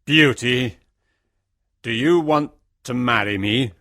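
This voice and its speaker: A man playing an ugly but kind, sorrowful Beast in a simple fairytale, speaking earnestly and deliberately. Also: mid-range voice, pushed and bright. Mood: pleading, lovelorn. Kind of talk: fairytale storybook dialogue for English learners.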